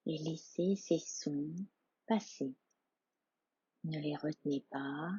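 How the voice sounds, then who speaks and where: A woman guides a meditation in a low, very breathy voice, hushed and soothing. She speaks very slowly, leaving room between phrases. The recording is slightly muffled. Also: no echo. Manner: hushed, calm, soothing, with long pauses. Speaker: yoga nidra instructor. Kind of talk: guided meditation, soft slow instruction.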